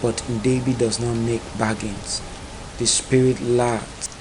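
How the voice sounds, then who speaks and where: A man narrating a dark epic folktale in a solemn, dramatic storytelling manner. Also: medium pitch, flat delivery, takes it slowly, noisy background.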